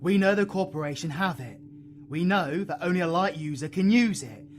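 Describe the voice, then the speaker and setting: Male actor as brooding dark-magic villain, British accent, slangy and foul-mouthed, slightly high pitch, audio roleplay, noticeable background noise. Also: lively intonation, moderate pace, lightly acted. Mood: urgent, defiant. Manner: intense, swearing, slangy. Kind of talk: dramatic audio roleplay acting.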